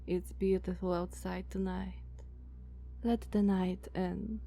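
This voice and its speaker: A woman with a Slavic accent voicing a dominant, haughty female vampire master in a soft, commanding ASMR roleplay. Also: on the low side, flat delivery, gravelly, faint music behind. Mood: calm, imperious. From Slavic country. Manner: slow, soft, commanding, condescending.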